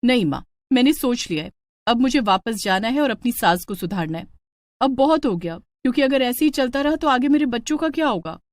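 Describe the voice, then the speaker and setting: Woman voicing a polite, lively young bride. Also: a little high, a little fast, dry recording, close to an everyday voice. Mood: determined. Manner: polite, plaintive. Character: young new daughter-in-law, polite, bewildered, increasingly exasperated.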